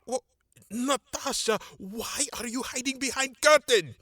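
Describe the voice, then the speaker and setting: A performer voicing a blustering, exasperated male Russian TV director in a comic puppet sketch, with a husky voice and mock Russian accent. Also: medium pitch, measured pace, a bit bright, no room sound, studio quality. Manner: scolding, blustering.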